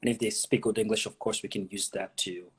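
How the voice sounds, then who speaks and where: A man's voice: lowish voice, steady tone, brisk, husky. A man from Nigeria, an immigration consultant, explaining pathways as an interview guest, often repeating words as he thinks.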